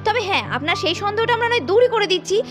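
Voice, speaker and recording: Woman narrator, very high voice, quick delivery, cartoon story over music. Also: animated. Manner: scolding, shifts between narration and character voices. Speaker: narrator voicing several characters. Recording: music underneath.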